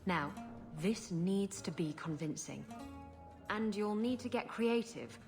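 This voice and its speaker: A woman's voice: medium pitch, animated, slow pace, a little mellow, faint music behind. A woman playing a sly, bold rogue with a playful, conspiratorial manner in a video game. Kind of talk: video game cutscene acting.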